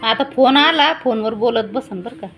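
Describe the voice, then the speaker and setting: A woman's voice: a little high, normal speed, a bit bright, soft background music. A middle-aged woman, chatting casually at home and giving instructions as a family vlogger. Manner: instructing, chiding. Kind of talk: casual household chat and vlog narration.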